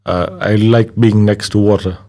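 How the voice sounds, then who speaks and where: Low-voiced man from Kenya, relaxed. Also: moderate pace. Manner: relaxed, hesitant with many fillers, laughs easily. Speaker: guest, DJ. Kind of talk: casual interview conversation.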